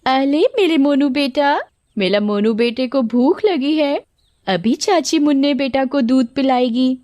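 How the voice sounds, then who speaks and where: A woman voicing a gentle, caring young aunt in a story, pleading and respectful. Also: high voice, measured pace, no echo, pitched above the performer's own voice. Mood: doting baby talk.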